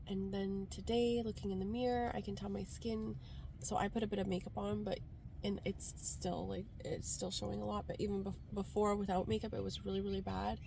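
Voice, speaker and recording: A woman's voice: medium pitch, flat delivery, a little fast, creaky, dark tone, slight background noise, low-quality recording. A woman from Canada with a congested, stuffed-up voice, talking in a casual, rambling car vlog style full of "like". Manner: informal, rambling, frequent "like". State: congested from a cold. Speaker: vlogger. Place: sitting inside a car.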